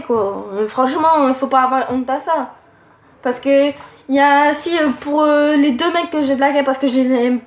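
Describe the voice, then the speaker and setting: A woman's voice: high-pitched, reverberant. A teenage girl giving frank, rambling relationship advice, with a nasal, stuffed-up voice and lots of fillers. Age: teens. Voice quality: nasal from allergies. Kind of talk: casual advice monologue.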